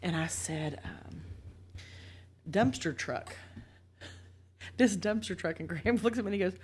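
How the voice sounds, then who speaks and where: Low-voiced woman in casual chat, noisy background. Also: lively intonation, unhurried, a little mellow. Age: 40s. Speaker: podcast guest.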